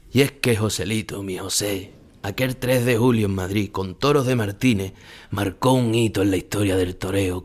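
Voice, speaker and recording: Ageing retired matador, low-pitched, wistful and brooding, for an audio drama monologue. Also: male voice. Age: older. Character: ageing melancholy retired Spanish bullfighter musing on his past.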